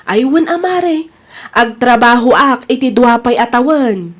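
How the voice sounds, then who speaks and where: A woman's voice: a little high, measured pace. A woman with an Ilocano accent acting a resolute, self-respecting wife back from working abroad in a drama. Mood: chatty.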